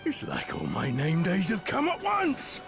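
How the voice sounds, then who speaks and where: An actor playing a gleefully sadistic, boastful enemy commander in a video game, with a mocking, theatrical drawl. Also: male voice, a little high, huge pitch swings, measured pace, vocal fry, exaggerated voice. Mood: gleeful menace. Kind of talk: video game villain acting. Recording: loud music behind the voice.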